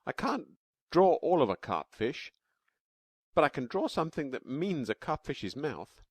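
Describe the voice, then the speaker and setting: A man voicing an eager, chattering little cave girl with a high, squeaky voice. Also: very animated, measured pace, breathy edge, no room sound, full character voice.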